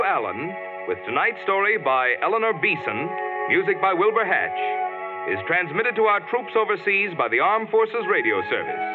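Polished 1940s radio announcer, man. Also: high voice. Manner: polished, measured announcer delivery. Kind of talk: formal 1940s sponsor announcement. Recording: tinny old radio broadcast with loud music.

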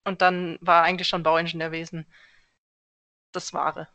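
A young woman from Germany, a civil engineer answering interview questions in a relaxed, thoughtful way with many small fillers. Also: lowish voice, normal speed. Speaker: podcast guest, civil engineer.

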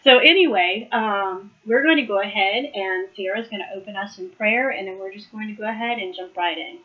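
A woman's voice: medium pitch, fairly quick, highs cut off. Chatty woman losing her voice. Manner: chatty, laughs often, warm southern "y'all" address. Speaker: host of a live Bible study. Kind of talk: casual live Bible study talk.

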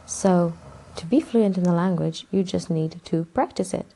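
Low gravelly woman. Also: normal speed. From Romania. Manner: relaxed, rambling, with fillers and small laughs. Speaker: interview guest. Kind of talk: casual interview answers.

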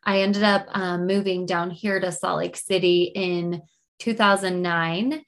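A woman's voice: lowish voice, steady tone, a little slow. A woman in her thirties from Utah, a guest leadership coach answering interview questions in a friendly, easygoing way. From Utah, USA. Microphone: video call.

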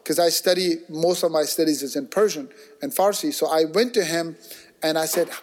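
A man in casual conversation, telling stories in an animated, discursive way, full of restarts and acted-out quotes. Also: fairly high pitch, moderate pace.

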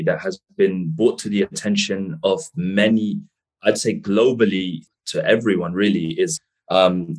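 A man hosting an interview, talking in a relaxed, conversational way and using Islamic phrases. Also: sits low, rough recording. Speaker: host. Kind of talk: casual interview.

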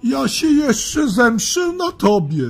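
A shrunken, whining monster from a children's audio play. The voice is extremely high and squeaky, drawn out very slowly with a raspy edge. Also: male voice, sing-song, soft background music, exaggerated voice. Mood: vengeful, tearful. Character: tiny shrunken nightmare lord, spiteful, whining little monster.

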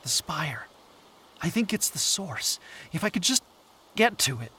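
Male actor as driven, self-assured academic for audio drama; earnest, insistent, very animated intonation, music underneath. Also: on the high side, measured pace, a little hiss or hum, full character voice. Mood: intent, persuasive.

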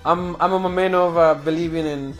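Young man from Chile, Chilean Spanish accent, slightly high voice, easygoing interviewee, faint music behind. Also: steady tone, normal speed. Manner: chatty, loose, peppered with "bro" and "you know". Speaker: fighting game player and commentator. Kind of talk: casual interview.

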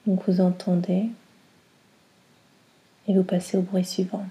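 Softly spoken woman with a very mellow voice. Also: lowish voice, little pitch movement, low-quality recording. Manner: soft, breathy, soothing delivery with short phrases and long pauses. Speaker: yoga nidra guide. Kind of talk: guided relaxation meditation.

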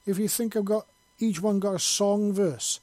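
A man's voice: fairly high pitch, moderate pace, mellow, no room sound, natural voice. A man doing a brash, swearing old Scottish soldier. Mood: indignant. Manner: blustering, swearing, defiant. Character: older Scottish male ex-soldier, brash and profane.

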